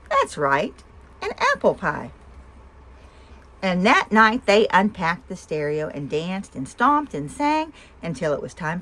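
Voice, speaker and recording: A woman reading a picture book aloud for young children with expression, pausing to ask the listeners simple questions. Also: on the low side, a bit bright, poor audio. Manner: asks listeners simple questions between story lines. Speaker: storyteller and host of a read-aloud show.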